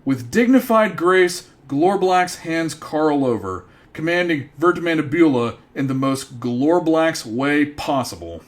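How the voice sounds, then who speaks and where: A man's voice: on the high side, lively intonation, unhurried, hardly any pauses. A man narrating a comedy radio drama in a mock-grand storytelling manner.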